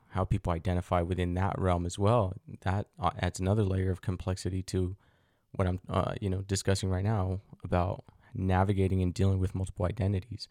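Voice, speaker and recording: A man's voice: low voice, warm tone, no echo, clean studio sound. A middle-aged man hosting a solo podcast, talking thoughtfully and asking himself rhetorical questions, with frequent fillers. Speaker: podcast host. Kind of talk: reflective solo podcast monologue.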